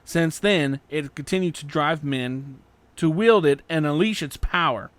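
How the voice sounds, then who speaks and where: A man's voice: a little high, measured pace, fairly bright tone, no room sound. Man as stern, solemn samurai warrior, dry sound.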